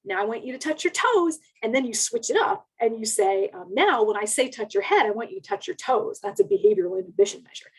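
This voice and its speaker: Higher-voiced woman with a slightly husky sound. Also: very animated, fast, hardly any pauses, rough recording. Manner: explanatory, uses casual wording. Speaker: education researcher and panel speaker. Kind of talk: informal webinar explanation.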